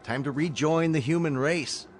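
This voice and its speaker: An actor playing a firm, rational colonel leading a rescue mission in a radio drama, speaking with measured authority. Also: male voice, a little high, slight background noise, natural voice. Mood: firm, challenging.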